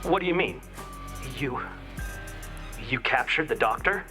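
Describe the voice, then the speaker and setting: Indignant politician in a game; bright, tense delivery with wide swings, accusatory, music underneath. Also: male voice, mid-range voice, animated, a little slow. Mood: alarmed. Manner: forceful, accusatory. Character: exasperated, indignant male senator in a conspiracy. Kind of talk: scripted video game cutscene acting.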